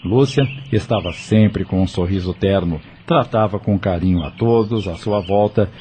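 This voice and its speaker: A man's voice: low-pitched, moderate pace, a little hiss or hum. A man with a Brazilian accent narrating a dramatic audio novel from a script. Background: soft music.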